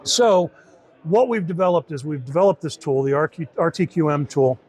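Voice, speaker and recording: A man with a somewhat high voice walks through a software tool in an informal demo. He explains it conversationally, often repeating and rewording his points as he goes. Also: moderate pace. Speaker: software team member demonstrating a beta tool. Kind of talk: informal product demo in an interview.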